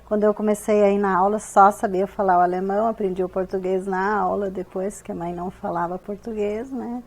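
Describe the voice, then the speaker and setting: A woman's voice: medium pitch, steady tone, a little fast, darkish tone. Reflective middle-aged southern Brazilian woman. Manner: reflective, with frequent "né?". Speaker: interviewee.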